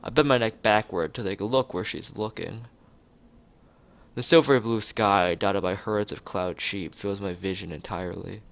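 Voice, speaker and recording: Male narrator for visual novel read-aloud: low, creaky voice, expressive delivery with the odd stumble. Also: normal speed. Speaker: let's player reading a visual novel aloud.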